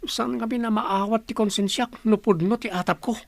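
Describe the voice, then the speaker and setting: A man's voice: sits high, steady tone, moderate pace, gravelly, no echo. A man playing a scheming, suspicious villager in a conspiratorial radio drama style. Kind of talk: Ilocano radio drama acting.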